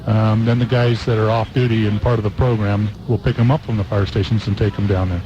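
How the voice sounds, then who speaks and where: A man's voice: on the low side, steady tone, a little fast. An American man, a fire captain, answering radio interview questions in a hesitant, matter-of-fact way. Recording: dull, noisy outdoor radio interview.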